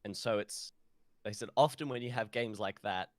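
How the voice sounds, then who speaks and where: A young man with a lowish voice and a very dry, close sound. He chats casually as a podcast co-host. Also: normal speed, no echo. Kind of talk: casual live-streamed podcast chat.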